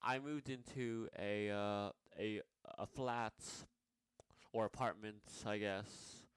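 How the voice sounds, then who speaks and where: Hesitant young man, low and crisp. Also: fairly even intonation, takes it slowly, dry recording. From Nepal. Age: young adult. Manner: hesitant, many fillers and restarts. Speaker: vlogger. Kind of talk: rambling personal vlog.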